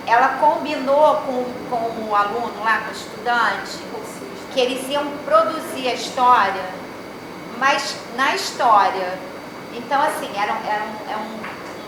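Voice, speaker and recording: High-voiced Brazilian woman in echoey room. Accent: Brazilian Portuguese. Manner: rambling, full of restarts and tag questions. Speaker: panelist at an academic roundtable. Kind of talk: casual chat among colleagues. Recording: very echoey room with background noise.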